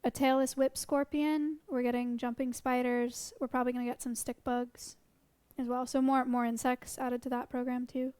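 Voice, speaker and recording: Streamer woman in her twenties, chatty and informal, voice fading and hoarse, high clear tone. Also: flat delivery, moderate pace, few pauses, a little mellow, dry recording. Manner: chatty, with frequent ums and restarts. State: losing her voice. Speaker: streamer answering chat questions about sanctuary animals. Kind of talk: casual livestream chat.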